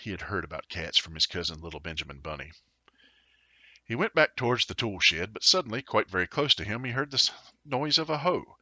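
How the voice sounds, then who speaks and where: Male storyteller for a children's tale: low pitch, very bright and forceful, playful dramatic emphasis. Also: expressive, brisk, no room sound, dull audio. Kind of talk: expressive children's storybook narration.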